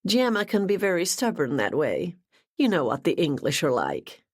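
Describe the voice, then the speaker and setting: A woman's voice: fairly low pitch, huge pitch swings, normal speed, husky, no room sound, clean studio sound, heavily acted. A woman reader voicing a breezy, mischievous sister with a Boston accent, teasing in tone.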